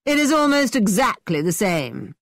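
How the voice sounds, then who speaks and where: A woman's voice: fairly high pitch, very animated, normal speed, no echo, studio quality. A woman reading a story aloud, voicing a brash, boastful young man who pushes his plans on others. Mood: pushy. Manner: loud, pushy.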